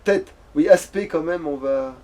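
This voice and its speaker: A man hosting a let's play, chatting casually in slang and swearing as he thinks out loud. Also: on the high side, unhurried. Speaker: let's play host playing the game. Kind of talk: casual gaming chat, improvised.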